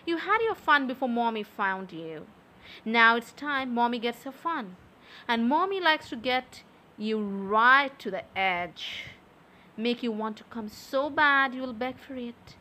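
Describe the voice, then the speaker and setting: Woman, young adult, high voice, slow unhurried delivery, soft teasing tone, close mic. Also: animated. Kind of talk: intimate audio roleplay.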